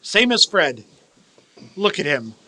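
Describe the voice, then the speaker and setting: An actor playing a bitter, miserly older man who softens into regret, in a radio play. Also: a little high, sing-song, slow, heavily acted. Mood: wistful. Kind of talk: live radio play acting. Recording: roomy, echoing stage sound.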